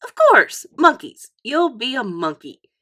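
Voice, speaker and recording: Woman acting a high, gushing, doting schoolteacher. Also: huge pitch swings, pushed and bright, exaggerated voice. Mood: comforting, playful. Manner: cooing, drawn-out, fond.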